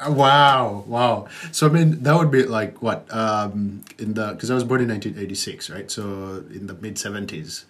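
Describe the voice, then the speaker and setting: A man in his thirties with a fairly low voice speaks as a podcast guest. He thinks aloud and hesitates, and he often adds fillers and "right?" tags. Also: fairly bright tone. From Uganda. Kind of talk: conversational podcast interview.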